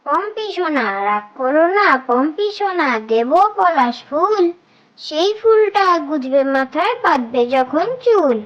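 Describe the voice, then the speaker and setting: A high, childlike voice reciting nursery rhymes for children in a sing-song way, line by line. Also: animated, measured pace, a bit bright, lo-fi sound.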